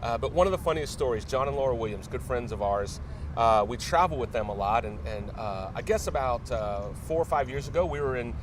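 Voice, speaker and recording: A middle-aged man tells stories casually as an interview guest, with a halting, hesitant flow and an average-pitched voice. He sounds some way from the mic, with clear noise rumbling behind him. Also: expressive, distant mic. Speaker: interview guest, newspaper media director. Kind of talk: casual anecdotal storytelling.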